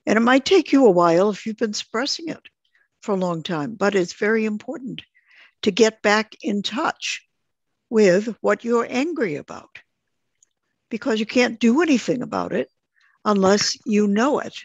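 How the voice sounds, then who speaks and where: A woman's voice: mid-range voice, animated, moderate pace, no echo. A woman teaching an online group lesson, explaining things simply, step by step, over dull call audio.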